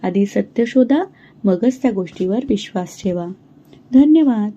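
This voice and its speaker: A woman narrating a children's cartoon moral story in a slow, gentle, clear way. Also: medium pitch, expressive, highs cut off.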